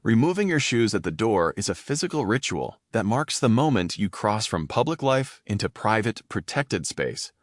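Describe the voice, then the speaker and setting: A man's voice: on the low side, very animated, normal speed, hardly any pauses, no room sound. A man hosting an educational podcast, narrating from a script and stopping to define vocabulary words in plain terms. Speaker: podcast host.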